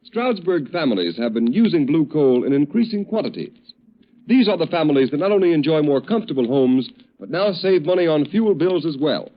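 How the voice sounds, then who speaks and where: A man's voice: a little high, expressive, brisk. A man announcing a 1930s radio advertisement with polished, persuasive sales delivery. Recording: dull old radio recording.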